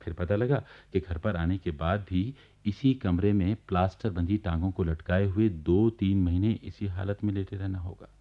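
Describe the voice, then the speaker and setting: A genial, wry man narrates a radio play from his sickbed. His voice is fairly low and he chats confidingly to the listener. The old recording is dull. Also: normal speed, few pauses. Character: genial bedridden government officer recounting his neighbours' life.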